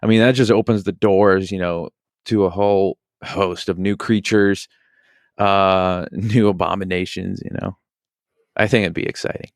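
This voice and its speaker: A man with a low, creaky voice talks casually as a gaming podcast guest. He moves haltingly through loose, filler-heavy thoughts with little change in tone. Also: fairly even intonation, no room sound, clean studio sound. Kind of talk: casual chat.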